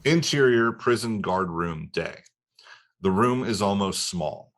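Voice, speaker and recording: A man's voice: fairly low pitch, lively intonation, slow. A man narrating screenplay stage directions in a scripted table read.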